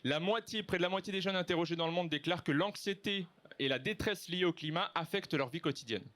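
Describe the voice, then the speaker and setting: A man's voice: a little high, fast, a bit bright. A man moderating a live conference panel, speaking to the audience in an informal, chatty way. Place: live festival stage with audience.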